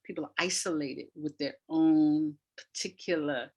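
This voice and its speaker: A woman with a fairly low voice speaks at a very unhurried pace as an interview guest. She is reflective and emphatic, leaving pauses between phrases as she explains her views conversationally. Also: steady tone, warm tone. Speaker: interview guest, arts practitioner.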